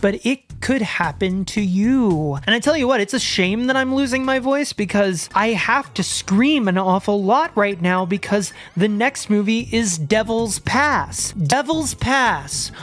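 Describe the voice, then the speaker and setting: A man hosting a horror video, talking excitedly with stammered restarts and sudden outbursts as he loses his voice. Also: sits high, unhurried, few pauses. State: losing his voice. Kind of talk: enthusiastic solo video commentary.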